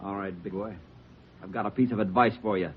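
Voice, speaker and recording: A man's voice: fairly low pitch, fairly even intonation, fast talker, breathy edge. An actor playing a hostile, defiant bomber in an old-time radio crime drama, sneering and taunting like a desperate tough guy. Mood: quietly menacing. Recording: muffled old radio recording.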